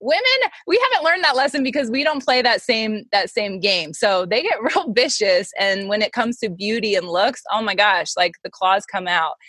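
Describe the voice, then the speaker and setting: A woman's voice: mid-range voice, animated, moderate pace, few pauses, fairly bright tone, breathy edge, no room sound. A woman in her thirties speaks in a casual, conversational interview style with a mid-range voice. She is candid and emphatic, often slipping into quoted speech, and the sound is dry and close. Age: 30s. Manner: candid, emphatic, peppers talk with "like" and acted-out quotes. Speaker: interview guest, modeling agency founder and mentor.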